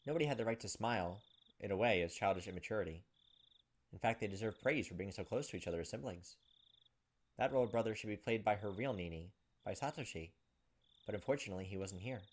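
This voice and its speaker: A man's voice: fairly low pitch, lively intonation, fast talker. A man hosting a gaming video, reading visual novel text aloud and adding casual commentary. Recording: game music behind the voice. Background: video game music.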